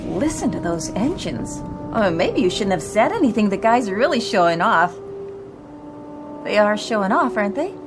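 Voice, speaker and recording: An actress playing an anxious, talkative young flight attendant with a hesitant manner in a radio drama. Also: female voice, medium pitch, sing-song, normal speed, over music, natural voice. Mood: chatty. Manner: hesitant, questioning.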